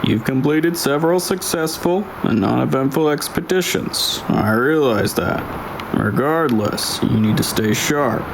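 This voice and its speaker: Stern older male crew leader, acted by an audiobook narrator, gravelly, commanding and deliberate, faint background noise. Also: mid-range voice, animated, pitched above the performer's own voice. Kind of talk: dramatised audiobook dialogue.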